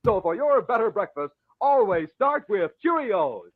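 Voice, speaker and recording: High-pitched vintage radio announcer man. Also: sing-song, unhurried, no room sound. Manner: polished, emphatic sales delivery. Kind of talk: 1940s radio commercial announcing. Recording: lo-fi vintage 1940s radio broadcast.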